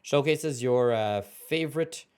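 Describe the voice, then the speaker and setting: A man hosting a card game channel, giving casual excited reaction commentary as he reads card text aloud, his voice going hoarse and his throat often clearing. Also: medium pitch, slow pace. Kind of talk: casual reaction commentary while scrolling an article.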